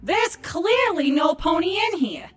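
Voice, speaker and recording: A woman's voice: very high voice, sing-song, a little slow, soft background music, heavily acted. A woman voicing an indignant, sarcastic young female changeling in a dramatised story reading. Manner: sarcastic, exasperated. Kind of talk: dramatised fan fiction reading.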